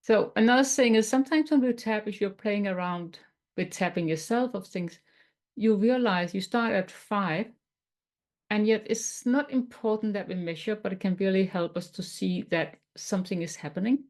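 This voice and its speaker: A woman from Denmark with a Danish accent, teaching tapping in a webinar in a gentle, explanatory way with some non-native phrasing. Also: medium pitch, normal speed. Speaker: tapping coach.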